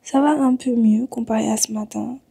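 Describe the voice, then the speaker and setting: A woman from Senegal vlogging at home while sick and tired, talking in a low-key, weary way. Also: fairly even intonation, moderate pace, vocal fry, dark tone, slightly rough, no room sound. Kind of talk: casual vlog talk. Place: at home in Montreal.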